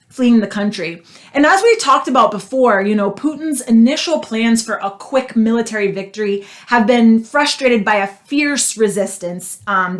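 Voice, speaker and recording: A woman hosting a political talk show, speaking earnestly with frequent "um" fillers. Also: on the high side. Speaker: host.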